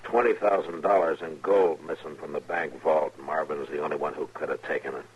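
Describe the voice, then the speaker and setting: A man playing a grave, steady American frontier marshal in 1950s radio western style, speaking in measured, hesitant phrases. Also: extremely low pitch, slightly rough, exaggerated voice. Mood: somber, regretful. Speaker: radio drama actor. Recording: muffled old 1950s radio recording.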